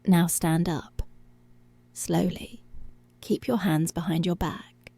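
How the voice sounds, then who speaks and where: A woman performs a commanding, seductive policewoman in an intimate ASMR roleplay. Her delivery is very slow and deliberate, slipping between murmur and whisper. The voice is husky and breathy with a heavy fry, possessive but tender. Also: fairly low pitch, steady tone, warm tone, dry recording, exaggerated voice. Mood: firm, possessive. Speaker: ASMR voice actor. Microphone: close, dry microphone.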